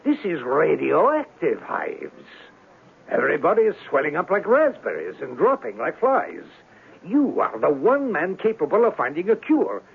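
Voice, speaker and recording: An actor in a 1970s radio drama playing a grandiose, urgent herald of a great mission, with a raspy voice and pompous, theatrical delivery. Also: male voice, a little high, wildly swooping pitch, moderate pace, exaggerated voice. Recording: phone-like vintage radio recording.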